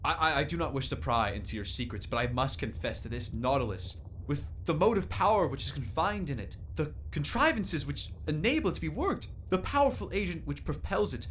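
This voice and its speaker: Guy acting as an earnest bookish professor, radio play, lightish high voice, faint noise. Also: expressive, brisk, few pauses, a bit bright, rough recording. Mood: admiring, curious. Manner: formal, erudite, earnest. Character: learned, curious, courteous male naturalist professor.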